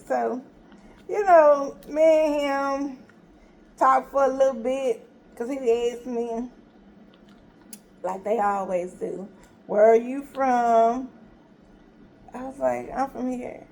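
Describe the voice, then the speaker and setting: A woman's voice: sits high, gravelly. A woman casually telling a story, acting out both sides of a conversation with laughter and exasperation. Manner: animated retelling, acts out both sides with quoted dialogue.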